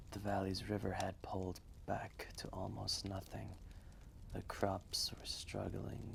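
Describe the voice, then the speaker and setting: An ancient male dragon, played by a man, speaks in a deep, heavily gravelled voice. He is a humbled, reflective storyteller who lingers over short phrases with long pauses. Faint noise sits behind this fireside roleplay. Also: full character voice. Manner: slow, deliberate, pausing, solemn reminiscing. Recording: faint background noise, fireplace ambience.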